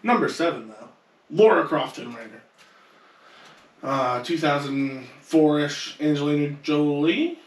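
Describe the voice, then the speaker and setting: Male podcast host, slightly higher register, casual chat, set back in a very roomy space. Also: expressive, a little slow. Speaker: podcast co-host moving the rankings along. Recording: echoey room, distant from mic.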